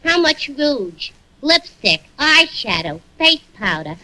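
A woman's voice: sits high, big pitch swings, takes it slowly, sharp tone, exaggerated voice, rough recording. An actress playing a brash, vain young tavern woman, sassy and ungrammatical, in 1940s radio comedy style. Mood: gossipy, vain.

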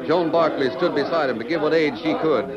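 A man's voice: a little high, fairly quick, over a noisy crowd. A man narrating an old radio western as a scripted storyteller in 1940s announcing style. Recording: muffled old radio recording, loud music.

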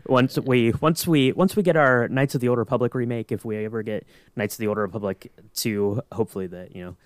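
A man on a podcast panel giving his opinions in casual, halting discussion. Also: medium pitch, no room sound.

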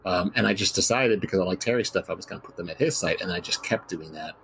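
Man, lower register, matter-of-fact guest writer, switching between casual talk and document reading. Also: quick pace, gravelly, a bit bright, faint music behind, low-quality recording.

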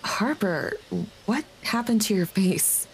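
A mouthy, big-hearted single mom in an audio comedy. She is bossy and protective, with a mid-range pitch, over faint ambient noise. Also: lively intonation, slow pace, rough recording. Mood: blunt, motherly. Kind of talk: comedy audio drama acting.

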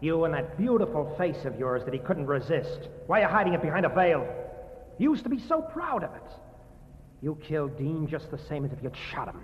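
A man's voice: fairly high pitch, sing-song, brisk, muffled recording. An actor playing a vengeful young man who holds a gun and grieves his brother, tense and accusing in 1940s radio style. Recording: old radio recording with long reverb in a tunnel.

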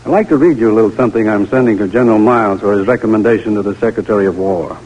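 An actor playing a formal, approving American army major in a 1940s radio drama, on an old radio recording. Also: male voice, fairly low pitch, rapid-fire, a little mellow, highs cut off, close to an everyday voice.

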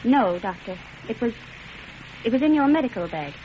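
An actress playing a dutiful, polite and earnest nurse in 1940s radio acting style. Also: female voice, fairly high pitch, big pitch swings, normal speed. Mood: shaken. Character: dutiful female nurse, alert, polite, easily shocked. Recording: muffled, sizzling old radio broadcast noise.

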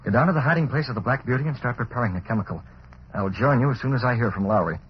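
A man's voice: medium pitch, very fast, lightly acted. A man acting in a 1940s radio drama as a decisive newspaper boss with a secret vigilante life, clipped and commanding. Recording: dull, noisy old radio recording.

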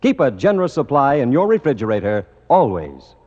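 A man's voice: medium pitch, big pitch swings, brisk, a little mellow. A man reading scripted copy as a 1950s American radio show announcer. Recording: dull old radio recording, reverberant.

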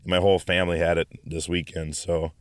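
A man hosting a podcast in a relaxed, casual way, with lots of fillers and restarts. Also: low voice, a little fast, no room sound, clean studio sound. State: hoarse after stomach flu. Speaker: podcast host. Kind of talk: casual podcast intro and interview.

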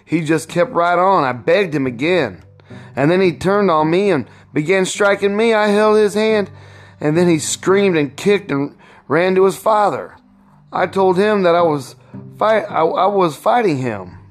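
A man plays an aggrieved young husband in a storybook, proud and defiant. The pitch is pushed up with insistent emphasis, and the delivery stays slow. Faint music runs underneath. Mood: aggrieved, angry. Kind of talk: storybook read-aloud.

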